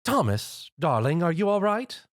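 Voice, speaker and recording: A man voicing a dramatic, refined young woman who is a fashionista, in a high put-on voice. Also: very animated, moderate pace. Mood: haughty.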